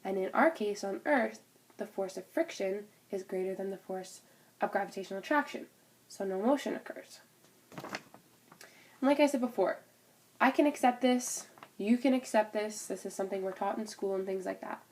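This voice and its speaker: A woman's voice: medium pitch, normal speed, darkish tone, slight background noise. A young woman with a cold and a stuffed-up nose, casually explaining a physics topic for a class recording, with fillers and restarts. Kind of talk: informal spoken class presentation.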